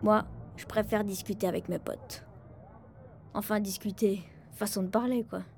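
A woman plays a wry young boy in a radio fiction, talking casually and deadpan about his own life. The voice sits at a middle pitch, with music clearly behind it. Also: normal speed, natural voice. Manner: casual, deadpan, self-mocking. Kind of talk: first-person radio fiction monologue, colloquial.

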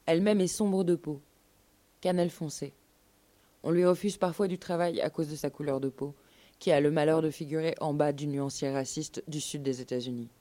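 A woman's voice: deep voice, fairly even intonation, moderate pace, dark tone. A woman narrating a scripted documentary story as part of a live radio show. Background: music.

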